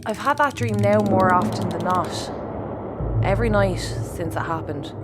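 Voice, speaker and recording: A woman playing a frank, troubled spaceship crew member in an audio drama, speaking informally and haltingly with an Irish accent. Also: mid-range voice, very noisy, natural voice. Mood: troubled, confiding. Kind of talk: naturalistic sci-fi audio drama acting.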